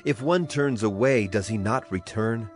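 A man's voice: lowish voice, a little slow, natural voice. An actor as a solemn male prophet over music. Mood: grave. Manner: solemn, declamatory. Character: solemn prophet voicing a grieving, wrathful God. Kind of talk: dramatized scripture narration. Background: music behind the voice.

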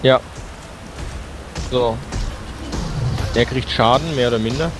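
A young man playing a video game with a friend, chatting casually and swinging between mumbling and excited yelling. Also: mid-range voice, takes it slowly, over music. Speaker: let's play host. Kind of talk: casual co-op gaming chat.

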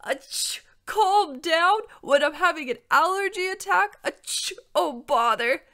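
A woman performs an old gray-green gentleman dragon for a storybook. He is blustery and fussy yet kindly. His old-fashioned exclamations come out loud and raised in pitch. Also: lively intonation, a little slow, heavily acted. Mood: flustered, irritable. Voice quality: congested, sneezy.

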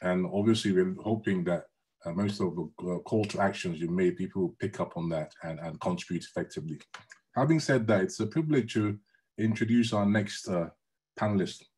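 A middle-aged man whose first language is Yoruba, formally introducing a panelist over a video call, measured and respectful with many pauses. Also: sits low, darkish tone. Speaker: panel moderator. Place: room at home, indoors.

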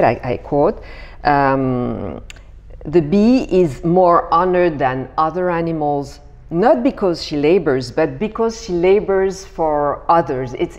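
A woman's voice: low voice, a little mellow, dull audio. A middle-aged woman asking questions as an interviewer in a conversational style. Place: bright indoor living room.

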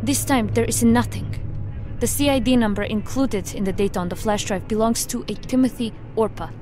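A woman acts a female investigator in a science fiction audio drama, with soft music behind her. Her voice is fairly high, and she speaks with formal, exact, analytical phrasing. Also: a little fast, natural voice. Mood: measured, analytical. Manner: precise, formal, without contractions.

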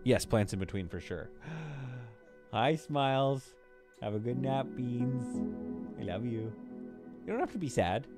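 A Canadian man in his thirties, streaming a game from a home green-screen setup, joking with chat and talking on a boom mic. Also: a little slow, pauses often, over loud music. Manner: playful, jokey, lively, swings between loud bursts and quiet asides. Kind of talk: casual livestream chat while building in a game. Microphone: studio mic on a boom arm.

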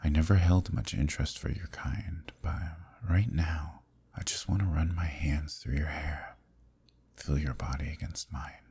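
A man playing an ancient, imprisoned eldritch villain in an ASMR roleplay, seductive and lonely, with a deep, breathy voice and an intimate, teasing manner. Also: fairly even intonation, measured pace, darkish tone, heavily acted. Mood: longing. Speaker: voice actor.

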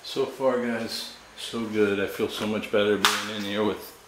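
A man filming himself as he walks through an abandoned house, talking quietly and uneasily to his viewers. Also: fairly low pitch, little pitch movement, a little slow. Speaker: vlogger exploring an abandoned house. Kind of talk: casual handheld commentary. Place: empty derelict house, indoors. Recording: echoey bare room, noisy.

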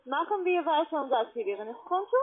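A woman with a foreign accent and non-native phrasing, giving an insistent sales pitch as a call centre agent. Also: expressive, fast talker. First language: not German. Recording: band-limited phone call audio.

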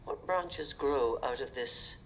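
A woman giving a dramatic, slow and deliberate reading of poetry. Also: very deep voice, fairly bright tone, slight background noise, low-quality recording. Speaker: poetry reader.

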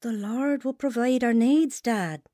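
A woman's voice: a little high, a little slow, dark tone, no echo, studio quality, close to an everyday voice. A woman playing an earnest, devout mother telling her life story in a sincere radio drama style. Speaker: lead actor and narrator.

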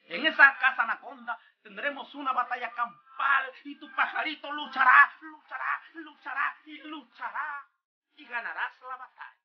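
A performer voicing a swindling male preacher, delivering a grandiose, booming mock sermon on a dull lo-fi recording. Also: moderate pace, breathy edge. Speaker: comic character pastor.

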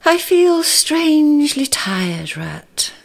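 A woman voicing a gentle, earnest male mole, soft and wistful, in a storybook reading. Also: sits high, very animated, extremely slow, creaky, pitched above the performer's own voice, full character voice.

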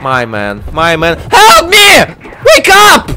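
A man hosting a gaming video with excited, exclamatory commentary, stammering and peppering his talk with slang. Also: high voice, slow, background noise.

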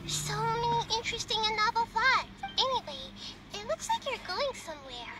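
Little girl deity, high-pitched, gentle, inquisitive, measured, anime game, music underneath. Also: very animated. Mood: gentle, curious. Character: gentle, wise child-like goddess of wisdom, inquisitive. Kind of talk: anime video game voice acting.

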